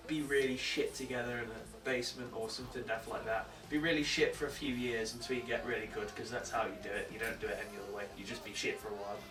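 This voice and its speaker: A young English man in a band, answering interview questions in a laid-back, joking way with casual swearing. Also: mid-range voice. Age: young adult. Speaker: guitarist in a band. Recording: echoey room, from far off, music behind.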